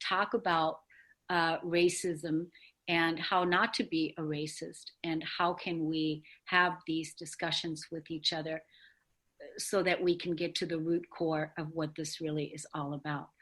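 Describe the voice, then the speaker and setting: A woman hosting a discussion show in a conversational, somewhat halting and rambling way. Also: on the low side, fairly bright tone. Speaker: talk show host.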